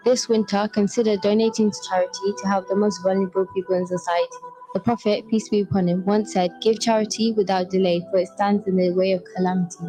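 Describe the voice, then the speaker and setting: A woman reading a scripted radio station announcement in a calm, even way. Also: mid-range voice, fast, low-quality recording. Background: music.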